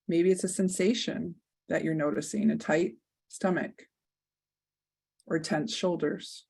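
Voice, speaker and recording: Woman, guided meditation, very slow, soft soothing delivery, lowish voice. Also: steady tone, creaky, husky.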